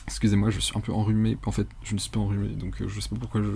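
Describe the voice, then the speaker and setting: A young man giving a casual, unscripted software tutorial in a hesitant, rambling, colloquial way, with a slightly husky voice. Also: fairly low pitch, monotone, rapid-fire, a little hiss or hum. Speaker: tutorial presenter.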